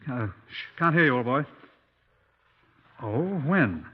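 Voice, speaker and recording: A British police inspector of 1950s radio drama, brisk and dry-humoured. He speaks at a middling pitch with clipped, courteous, probing delivery. The old broadcast recording is dull and lo-fi. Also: animated. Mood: brisk, businesslike. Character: brisk, dry-humoured Scotland Yard police inspector narrating his case.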